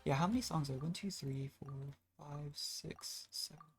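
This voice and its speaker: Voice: medium pitch, normal speed. A streamer giving casual, self-deprecating commentary while playing a video game, with game music in the background. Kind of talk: casual gameplay livestream commentary.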